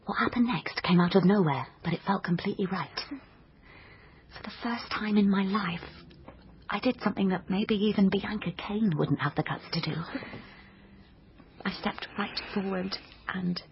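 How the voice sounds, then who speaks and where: A woman's voice: mid-range voice, moderate pace, lo-fi sound, crowd noise, close to an everyday voice. An actress playing a young woman who narrates her own adventure in a radio drama, confiding and dramatic. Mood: self-urging, confiding. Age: young adult. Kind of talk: scripted first-person radio drama narration.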